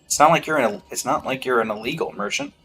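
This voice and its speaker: A streamer comments casually on a video game in a medium-pitched voice. The delivery is playful and wry, with faint game music underneath. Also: expressive, fast talker, fairly bright tone.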